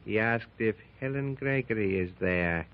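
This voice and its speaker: A man's voice: fairly low pitch, natural voice. A man playing a sly scheming adventurer. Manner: measured, sly and formal. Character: smooth scheming Egyptian adventurer, adult man. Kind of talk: 1930s radio drama acting. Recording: tinny old 1930s radio recording.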